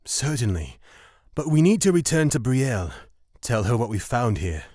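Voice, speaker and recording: Man playing an earnest, lively-voiced knight. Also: mid-range voice, moderate pace, no room sound, studio quality. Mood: dutiful. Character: earnest, dutiful male knight scout. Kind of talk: fantasy audio drama acting.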